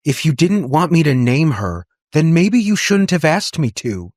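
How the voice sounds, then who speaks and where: A man plays a defensive young girl in an audiobook. Her delivery is sullen and accusatory, pitched above the narration, in a close, dry recording. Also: sing-song, moderate pace, a little mellow, no echo. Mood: angry. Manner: curt, accusatory. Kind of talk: audiobook character dialogue.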